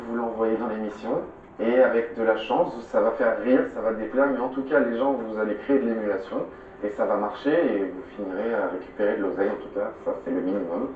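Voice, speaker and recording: A man's voice: mid-range voice, a little fast, a little mellow, a little hiss or hum. A man giving tongue-in-cheek advice in a sardonic manner. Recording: echoey, distant, dull.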